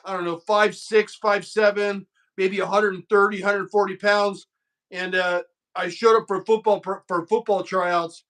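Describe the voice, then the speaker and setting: A man's voice: high-pitched, little pitch movement, unhurried, fairly bright tone. A man in his fifties hosting a casual conversation, telling loose stories and swearing freely. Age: 50s. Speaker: host.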